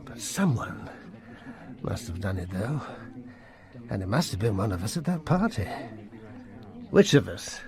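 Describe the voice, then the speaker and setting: A man's voice: lowish voice, big pitch swings, measured pace, long pauses, crowd noise. An actor playing a jittery, hard-drinking upper-class English military man in a radio drama, with a blustering, stammering manner. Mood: tipsy suspicion. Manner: blustering, stammering, slurred old-fashioned slang.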